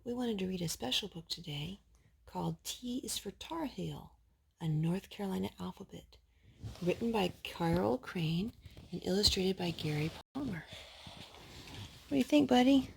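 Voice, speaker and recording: A woman with a slightly husky voice reading a children's picture book aloud as a storytime host. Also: medium pitch, animated, moderate pace, a little hiss or hum, low-quality recording.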